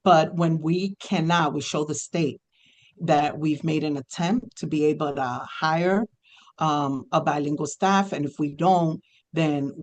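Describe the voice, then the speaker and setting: Hesitant higher-voiced man at a meeting. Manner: hesitant, thinking aloud with many ums. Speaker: district multilingual program staff. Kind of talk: committee meeting presentation.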